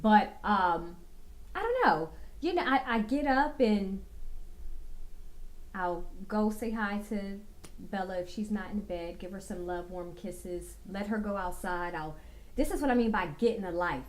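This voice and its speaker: A woman vlogger talking to her viewers about getting a full life, slow and reflective with many fillers, a little raspy from a cold. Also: medium pitch, expressive, poor audio. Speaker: vlogger giving life advice. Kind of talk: casual talking-to-camera advice.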